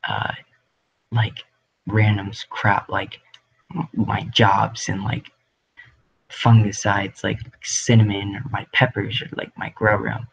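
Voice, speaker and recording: A man's voice: fairly low pitch, little pitch movement, takes it slowly, gravelly, long pauses, slightly rough, lo-fi sound. A young man hosting a casual livestream from his home grow room, rambling with lots of ums and likes. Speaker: livestream host.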